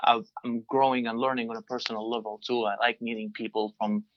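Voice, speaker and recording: A man in his twenties from Libya, a native Arabic speaker, answering interview questions in a halting way with many restarts. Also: medium pitch, level tone, no echo, highs cut off. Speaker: guest, design engineer.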